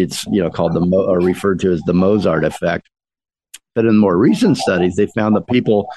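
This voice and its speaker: A man's voice: sits low, dark tone, no room sound, low-quality recording. A man hosting a radio interview, asking long, rambling, earnest questions.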